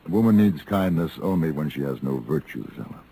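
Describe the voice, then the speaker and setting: An actor playing a cool, cultured gunfighter who speaks formally and precisely in an old-time radio Western. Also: male voice, a bass voice, expressive, a little fast, dark tone, breathy edge, full character voice. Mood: gently courteous. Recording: noisy vintage radio recording.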